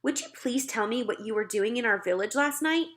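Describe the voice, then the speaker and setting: A woman's voice: on the high side, expressive, brisk, fairly bright tone. A woman voicing a small, curious, earnest girl in a children's storybook, questioning and pleading persistently. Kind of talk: children's storybook read-aloud.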